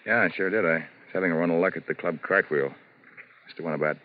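An actor playing a slangy, impatient and evasive gambler husband in a 1950s radio drama. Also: male voice, low voice, quick pace, slight background noise, natural voice. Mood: evasive, reassuring. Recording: tinny, narrow old radio recording.

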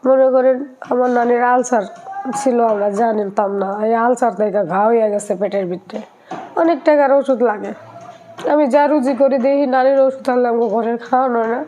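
A woman's voice: a little high, a little fast, mellow, a little hiss or hum. A young woman with a colloquial Bangladeshi accent, making a plaintive, emotional personal appeal for help in an interview. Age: young adult. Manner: pleading, plaintive.

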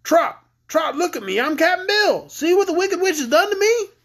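A tiny male grasshopper from a storybook, played by a reader, talks in a high, lively voice. He is good-natured and folksy, with a faintly husky tone. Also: moderate pace. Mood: excited, pleading. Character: tiny talking grasshopper, once an old sea captain, good-natured. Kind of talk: storybook character voice.

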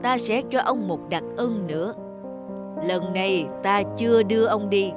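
A woman's voice: medium pitch, a little slow, music in the background, close to an everyday voice. A woman voicing a solemn, commanding Grim Reaper in a folk-tale cartoon, speaking in a formal, stately manner. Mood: solemn, merciful.

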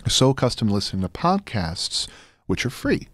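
A man's voice: mid-range voice, very animated, fairly quick, no echo. A man in his thirties, a guest chatting casually about his work into a close studio microphone. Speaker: guest, audio drama creator. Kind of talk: casual interview storytelling. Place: small indoor studio. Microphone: close studio microphone on boom arm, headphones.

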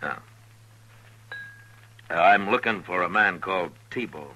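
An actor playing a stern, steady frontier marshal in a 1950s radio western, terse and authoritative. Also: male voice, low voice, a little slow, heavily acted, rough recording. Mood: steady, businesslike. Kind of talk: 1950s radio western acting and first-person narration. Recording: vintage 1950s radio recording with music.

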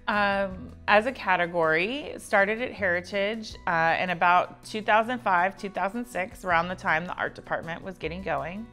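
A woman's voice: fairly low pitch, a bit bright. An American woman in her thirties giving a polished, conversational promotional talk to camera as an art director. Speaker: auction house art director. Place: indoor office-like studio set. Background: background music.

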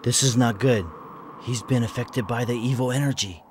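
A man's voice: mid-range voice, fairly even intonation, slight background noise, natural voice. Man playing a solemn, stoic giant robot warrior. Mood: grave, calm. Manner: solemn, deliberate, formal. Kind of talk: animated game cutscene dubbing.

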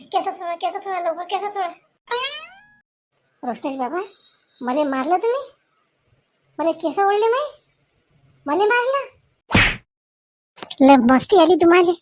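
A woman's voice: extremely high pitch, animated, measured pace, long pauses, a little mellow. A woman voicing a combative, scolding village wife and mother-in-law in a comedy cartoon, with a Varhadi Marathi accent and melodramatic, exclamatory delivery. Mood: pained, pleading. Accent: Varhadi Marathi from Vidarbha.

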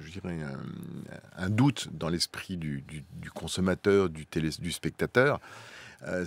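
Middle-aged man, low and dry, radio studio guest; measured, halting delivery. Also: no room sound. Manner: measured, hesitant with many fillers, repeats for emphasis. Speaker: guest, product placement professional. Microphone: studio mic close up.

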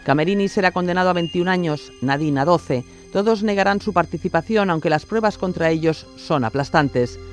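A man's voice: high voice, fairly quick, few pauses, faint music behind. A man reading scripted narration for a true-crime audio documentary.

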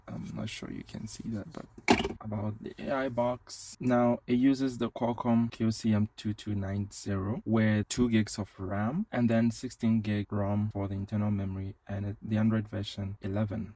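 A man's voice: lowish voice, fairly even intonation, moderate pace, warm tone, dry recording. A man giving a casual hands-on product demo as a tech reviewer, speaking inside a car.

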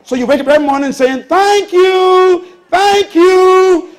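A Bahamian man in his sixties preaching a sermon on a church stage, swinging from loud bursts to hushed asides. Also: extremely high pitch, unhurried. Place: large church auditorium stage with audience.

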